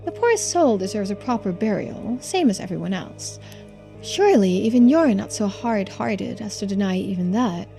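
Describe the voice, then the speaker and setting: A woman voicing a genteel, composed and secretive housewife in a video game, speaking politely, formally and with quiet persuasion. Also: mid-range voice, expressive, normal speed, vocal fry, faint music behind. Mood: politely pressing. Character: genteel, composed, secretive housewife who knows occult lore. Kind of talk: video game dialogue read aloud.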